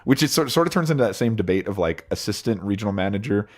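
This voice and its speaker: A man's voice: fairly low pitch, lively intonation, fast. A man co-hosting a casual podcast, getting over an illness, recapping episodes in a loose, laid-back way. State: recovering from illness, deeper voice. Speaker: podcast co-host.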